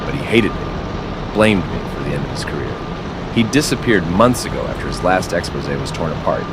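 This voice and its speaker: Low-voiced man narrating over loud city rain. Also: expressive. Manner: slow, serious, dramatic pauses. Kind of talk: dark scripted first-person story narration. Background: rain and city traffic ambience.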